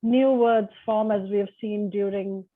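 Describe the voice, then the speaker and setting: Flat-voiced woman on a tinny line. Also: medium pitch, a little slow, a little hiss or hum. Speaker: guest. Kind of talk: casual panel chat.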